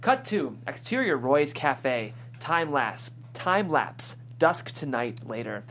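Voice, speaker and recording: A woman's voice: rumbling low, sing-song, slow pace, fairly bright tone, slight background noise. A woman reading scripted stage directions as the narrator at a table read.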